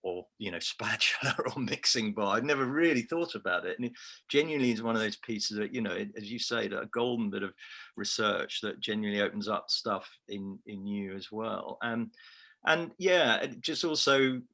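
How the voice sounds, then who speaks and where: Gravelly Scouse man, low voice. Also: moderate pace, pushed and bright. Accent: Liverpool. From Liverpool, England. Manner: reflective, self-deprecating. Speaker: award-winning researcher, interviewee. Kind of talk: remote interview.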